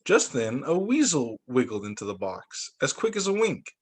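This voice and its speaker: A man working as a teacher, reading children's storybooks aloud in an expressive way. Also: mid-range voice, moderate pace, husky. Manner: expressive, acts out dialogue for young children.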